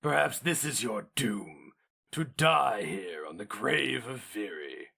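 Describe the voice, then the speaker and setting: A man's voice: fairly low pitch, animated, slow pace, creaky, bright, forceful tone, slightly rough, full character voice. A man playing a savage, proud hero mage in a fantasy story, with a theatrical, declamatory delivery. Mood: menacing. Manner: theatrical, declamatory, raised well above the narration. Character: savage, indomitable, proud male hero mage, famed and feared.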